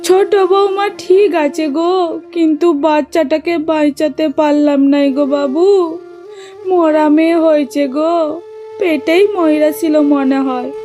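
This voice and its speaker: A woman plays a loud, theatrical village maid and midwife from a horror cartoon. Her voice is high and soft-edged. Faint music sits behind it. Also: steady tone, slow. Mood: wailing, lamenting. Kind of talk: cartoon horror story acting.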